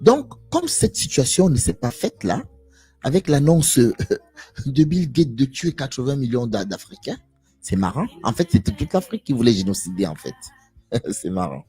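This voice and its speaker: A man's voice: mid-range voice, very animated, normal speed, mellow, breathy edge, faint music behind. A man giving an impassioned live monologue to viewers, emphatic and preachy, repeating phrases and asking rhetorical questions. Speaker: live stream speaker.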